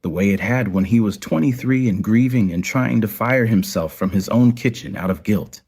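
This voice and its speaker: A man's voice: on the low side, normal speed, darkish tone, husky. A man narrating a scripted audio story.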